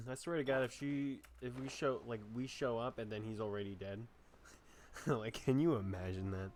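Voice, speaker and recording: A man's voice: mid-range voice, slight background noise. A man casually commenting as he plays a video game, with music behind him. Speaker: streamer playing a video game.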